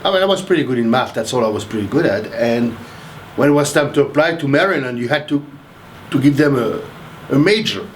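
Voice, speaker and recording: This older man from Haiti talks in a loose, rambling way, circling back and restarting his sentences. His pitch sits at a middling level. Faint noise hums behind him. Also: lively intonation, fairly quick, poor audio. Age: 60s. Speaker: interviewee, math professor. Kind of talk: casual interview.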